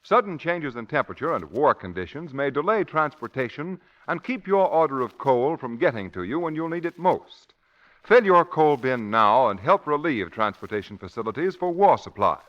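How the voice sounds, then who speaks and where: Male vintage radio announcer: formal, emphatic, widely swinging mid pitch, muffled old recording. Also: very animated, moderate pace. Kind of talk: 1940s radio announcing, scripted.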